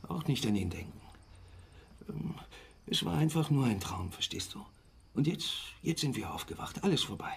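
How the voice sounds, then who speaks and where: A man playing a gentle, evasive father and husband in a naturalistic radio drama, soft and hesitant. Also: medium pitch, big pitch swings, normal speed, creaky, slight background noise. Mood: soothing.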